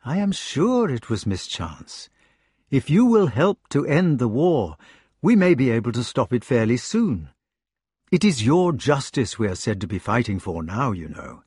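A man doing a gentle old king. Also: medium pitch, big pitch swings, a little slow, breathy edge, dry recording, studio quality. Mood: soothing. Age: older. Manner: soothing, kindly, soft.

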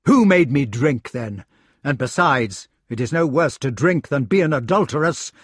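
A man's voice: mid-range voice, lively intonation, moderate pace, dry recording, clean studio sound, lightly acted. A man voicing a jealous, bullying knight in an audiobook, belligerent and scornful. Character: blustering, jealous, treacherous male knight, a bullying husband. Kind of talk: audiobook character voice.

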